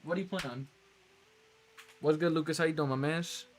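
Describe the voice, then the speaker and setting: Higher-voiced man, casual streamer. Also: fairly quick. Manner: friendly, appreciative, slangy. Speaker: game live streamer. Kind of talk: casual livestream chat with viewers.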